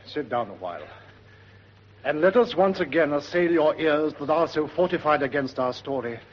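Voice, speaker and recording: An actor playing an earnest, uneasy night sentry in 1940s British radio Shakespeare, with formal theatrical verse delivery. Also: male voice, a little high, animated, moderate pace, natural voice. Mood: earnest, storytelling. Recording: muffled, noisy 1940s radio recording.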